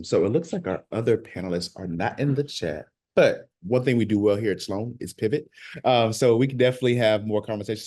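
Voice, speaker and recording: Brisk, low-voiced male moderator. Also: a little mellow, no echo, clean studio sound. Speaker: panel moderator, admissions staffer. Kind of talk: hosting an informal online panel.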